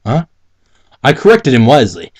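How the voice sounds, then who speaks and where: A man's voice: mid-range voice, very animated, moderate pace, darkish tone, breathy edge. A man co-hosting a mocking dramatic reading, casually commenting with giggly, stumbling, exasperated profanity. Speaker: co-host reading and mocking fan fiction.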